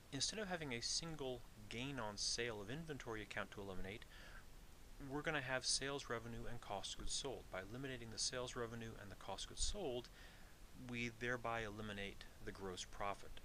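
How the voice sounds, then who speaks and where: A man giving an explanatory lecture as an instructor, methodical and deliberate, restating his points. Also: mid-range voice, sharp tone, slight background noise, low-quality recording. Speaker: accounting instructor.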